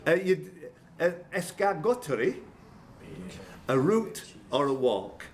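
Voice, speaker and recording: A man's voice: a little high, sing-song, slow pace. A man working as a quizmaster, reading questions live with informal teasing banter and stumbling self-corrections. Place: indoors at a pub quiz tournament.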